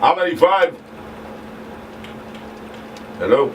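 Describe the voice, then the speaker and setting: A man's voice: on the high side, big pitch swings, slow, highs cut off, over a noisy crowd. A man talking casually and off the cuff as a radio crew member handling calls. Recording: distant mic, clearly noisy background.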